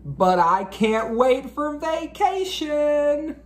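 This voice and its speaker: A man voicing a fond, wistful woman schoolteacher from a picture book, with slow, stretched-out phrasing. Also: very high-pitched, very animated, reverberant, voice pushed up, full character voice. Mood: wistful, then excited. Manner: slow, stretched-out calling and musing. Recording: noticeable background noise.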